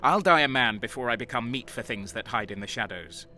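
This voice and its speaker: A man playing a defiant, embittered renegade soldier in a dark sci-fi audio drama, brooding and intense. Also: mid-range voice, expressive, fairly quick, soft background music. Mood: defiant, resentful.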